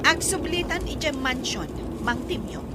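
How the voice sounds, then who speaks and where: A woman doing a shrill bossy rich lady. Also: animated, unhurried. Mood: commanding. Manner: commanding, questioning. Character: bossy wealthy female resort owner. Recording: noisy background.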